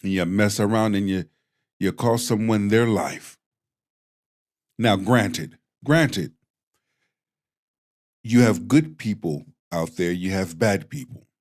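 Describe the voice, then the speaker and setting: A man's voice: lowish voice, darkish tone. A man with a Jamaican accent hosting a stream, commenting bluntly on clips like a preacher. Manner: slow, preachy and blunt, with profanity. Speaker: host.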